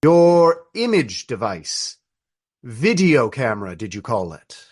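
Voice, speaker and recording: A man playing an old friar in a radio play, speaking in formal, ornate, deliberate phrases with gallant fondness. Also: mid-range voice, very animated. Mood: curious, probing. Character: courtly old Trappist friar, fond, wry, gallant storyteller.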